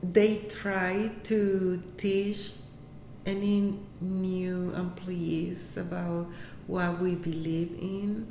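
A woman from Puerto Rico whose first language is Spanish speaks English with a Puerto Rican accent, answering interview questions in a halting way with many fillers and laughs. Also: lowish voice, little pitch movement, mellow. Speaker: interviewee, health center employee. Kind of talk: casual interview. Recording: echoey room, faint background noise.

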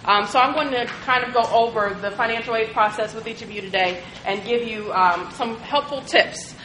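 A woman with a slightly high voice speaks in a deliberate, instructive way, the way a trainer does in front of a group. There is noticeable background noise, and the room echoes around her. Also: a bit bright. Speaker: financial aid trainer. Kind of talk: presentation to trainees.

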